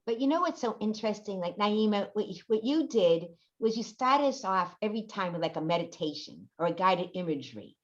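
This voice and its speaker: A woman's voice: mid-range voice, sing-song, brisk, few pauses. An older woman chatting earnestly and casually in a discussion, often adding "you know". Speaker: workshop participant, amateur writer and artist. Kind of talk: casual post-show discussion. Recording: dull lo-fi call audio.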